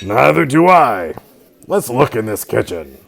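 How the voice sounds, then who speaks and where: A man playing an authoritative, methodical police sergeant in an old-time radio drama, questioning deliberately. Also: medium pitch, big pitch swings, vocal fry, a little hiss or hum. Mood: probing. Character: brisk, methodical male police homicide sergeant. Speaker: actor.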